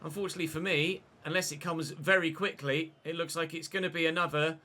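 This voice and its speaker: A young man commentating his football video game career, chatty and excitable, breaking into shouts when he scores. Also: a little high, fast. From England. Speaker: gaming YouTuber.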